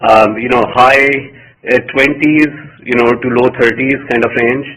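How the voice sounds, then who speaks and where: A man speaking as a company executive answering analyst questions, halting and often rephrasing mid-sentence. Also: medium pitch, fairly even intonation, fairly bright tone, slight background noise, poor audio.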